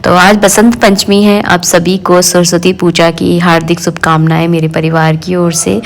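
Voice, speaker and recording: A middle-aged woman with a hoarse voice, chatting homely and casually in a home cooking and daily-life vlog from her kitchen. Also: lowish voice, little pitch movement, moderate pace. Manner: homely, chatty, fond of the filler "jo hai na". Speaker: home vlogger.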